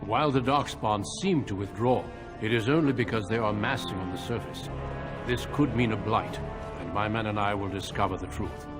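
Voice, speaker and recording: Man acting a grave lowish-voiced warrior. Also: normal speed, lightly acted. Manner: formal, earnest. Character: grave, courteous male warrior commander. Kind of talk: fantasy game cutscene acting. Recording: music behind speech.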